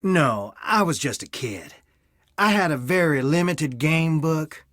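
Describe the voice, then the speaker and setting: Weary young man, expressive and close-miked. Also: fairly high pitch, unhurried, no echo. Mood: wistful. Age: young adult. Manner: wry, tired, defensive. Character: weary, guarded young fiancé of a missing woman. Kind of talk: scripted video game voice acting.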